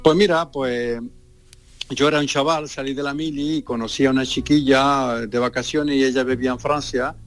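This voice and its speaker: A man's voice: mid-range voice, steady tone, moderate pace. Middle-aged Andalusian guy, easygoing chat, faint music under. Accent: Andalusian Spanish. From Seville, Andalusia. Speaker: caller living abroad. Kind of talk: casual phone-in chat.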